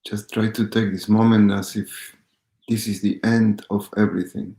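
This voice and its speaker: A man leading a live guided meditation as a teacher, speaking with pauses and gentle repetition. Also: on the low side, flat delivery. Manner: slow, pausing, gentle repetition. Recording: roomy echo.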